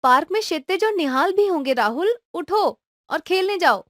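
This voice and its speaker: A woman's voice: very high voice, expressive, moderate pace, fairly bright tone, no echo, studio quality, pitched above the performer's own voice. A woman voicing a caring young mother in a cartoon story who scolds and reassures her son. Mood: urging. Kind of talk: cartoon voice acting.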